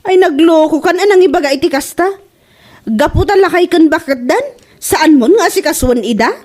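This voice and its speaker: A woman's voice: high voice, moderate pace, darkish tone, natural voice. A woman playing a concerned, assertive daughter in a family comedy drama, questioning and pleading in an Ilocano accent. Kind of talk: radio-style comedy drama acting.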